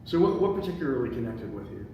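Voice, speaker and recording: A man leading a table read, talking informally and reading aloud, with a somewhat halting delivery. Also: mid-range voice, very animated, highs cut off. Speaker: moderator of a screenplay table read. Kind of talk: informal group discussion and reading aloud stage directions. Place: echoey room with a group. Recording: echoey, noisy room, distant microphone.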